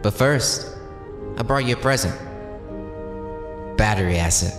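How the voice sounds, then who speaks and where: An actor playing a bold, idealistic young vigilante in an audio drama, casual, teasing and earnest. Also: male voice, lowish voice, measured pace. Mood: taunting. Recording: echoey reverberant hall, music underneath.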